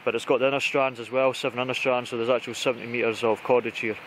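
Casting: British male outdoor vlogger, mid-pitched voice, informal and chatty, talking through kit in a noisy outdoor recording. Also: little pitch movement, fast, fairly bright tone. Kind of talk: casual gear walkthrough to camera. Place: outdoors in conifer woodland. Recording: outdoor recording with clear background noise.

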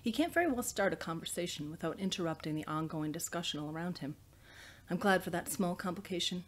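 A woman's voice: on the low side, animated, fast talker, slight background noise. A woman in her thirties, an author reading and presenting her novel in a small study. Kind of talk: audiobook-style reading, scripted narration. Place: small indoor study with bookshelves.

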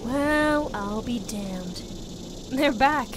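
Woman doing a female squad commander, clipped orders, slow and expressive, engine noise. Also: fairly high pitch. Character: calm female squad commander in an armored vehicle. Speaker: squad leader. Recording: noisy background.

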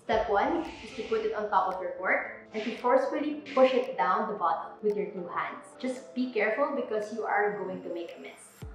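A young woman giving clear step-by-step instructions on wine bottles, in an instructional demo recorded indoors. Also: mid-range voice, moderate pace, soft background music. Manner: clear, plain step-by-step explaining. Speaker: wine presenter. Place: indoor room with a table. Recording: very echoey room.

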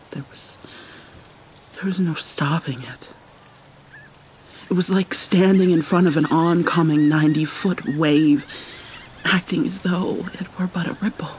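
Casting: woman to play haunted vampire mermaid princess for ASMR drama; slow, theatrical, breathy near-whisper, light rainy ambience behind. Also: sits low, a little mellow, slight background noise. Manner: halting, theatrical, mournful. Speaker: roleplay voice actor. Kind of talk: dramatic ASMR roleplay monologue.

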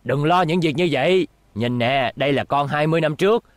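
A man's voice: fairly high pitch, a little fast, no echo, lightly acted. Man voicing a stubborn old space station chief. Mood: stubborn, reassuring. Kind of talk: animated series dub acting.

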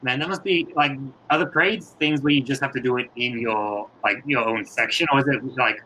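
A man's voice: medium pitch, pushed and bright, a little hiss or hum, rough recording. A young man with an Australian accent, hosting a casual video call interview and laughing along. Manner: friendly, quick to laugh along. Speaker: host. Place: room with yellow walls indoors.

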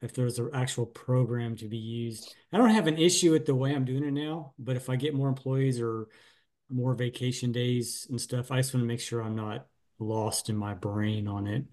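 A man's voice: medium pitch, normal speed, darkish tone. A middle-aged man who owns a painting business, chatting casually and a little self-deprecatingly on a group video call from home. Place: small room at home.

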